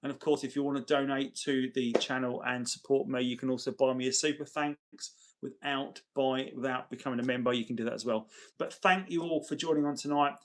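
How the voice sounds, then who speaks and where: A man hosting a casual livestream, chatting chummily with viewers, his voice tired and getting gravelly. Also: mid-range voice, fairly even intonation, fairly quick. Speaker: livestream host. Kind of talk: casual livestream chat, reading and answering viewer messages.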